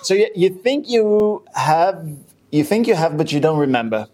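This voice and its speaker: Brief: young male podcast co-host, slightly higher voice, halting delivery, lots of ums. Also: sing-song, a little mellow. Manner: halting, many fillers and restarts. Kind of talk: casual chat.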